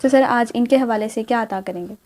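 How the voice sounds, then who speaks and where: Pakistani lady host, highish voice, formal and courteous presenting. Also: brisk, darkish tone. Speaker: talk show host. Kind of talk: formal, polite programme presenting.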